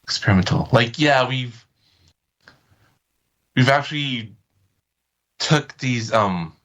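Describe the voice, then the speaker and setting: A man's voice: medium pitch, lively intonation, slow pace, a bit bright. A man speaking as an interview guest, informal and hesitant as he feels for his words. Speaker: podcast guest. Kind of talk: casual interview chat.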